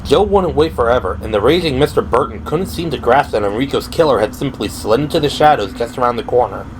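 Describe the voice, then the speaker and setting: A man's voice: mid-range voice, animated, quick pace, noisy background. A man delivering scripted, dramatic narration for an audio drama. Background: dramatic background music.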